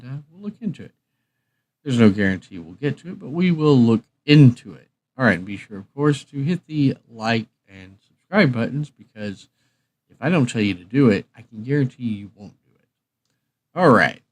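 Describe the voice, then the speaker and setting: A male livestream host talks casually to his viewers between songs. His delivery wanders and hesitates, with a middling pitch and an informal, unscripted feel.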